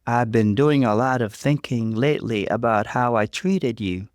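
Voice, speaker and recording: A man voicing an overwhelmed mother, a stiff and contrite sister, in an earnest, measured way, for a story. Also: mid-range voice, no echo, clean studio sound, voice pushed up, natural voice. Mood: earnest, apologetic.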